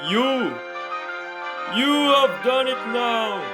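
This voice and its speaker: A man playing a desperate prisoner in an amateur radio drama, speaking earnestly and haltingly as he pleads his innocence. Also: very high-pitched, expressive, music in the background, exaggerated voice. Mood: alarmed, accusing.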